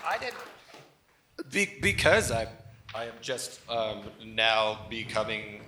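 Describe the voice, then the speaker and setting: A man hosting a casual live podcast, speaking in a halting way. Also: on the low side, sing-song, sharp tone, a little hiss or hum. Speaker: podcast host. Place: live show on a stage. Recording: roomy live stage sound.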